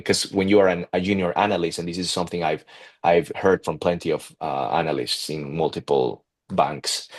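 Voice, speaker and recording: A young man with a heavy Peruvian accent and a very low voice speaks as an interview guest. He talks haltingly, piling up fillers and restarting his sentences as he goes. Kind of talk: casual interview talk.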